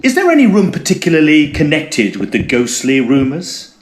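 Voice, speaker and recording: A man's voice: fairly high pitch, wildly swooping pitch, normal speed, a little hiss or hum. A man playing an eager, inquisitive Edwardian ghost hunter in an audio drama, with theatrical, formal diction. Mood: brisk, curious. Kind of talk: audio drama narration and dialogue.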